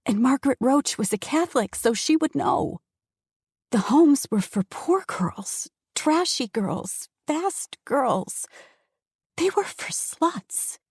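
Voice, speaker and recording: A woman's voice: sits high, lively intonation, takes it slowly, darkish tone, no echo. A woman narrating an audiobook in a dramatic, intense storytelling manner on a close studio mic. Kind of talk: scripted narration.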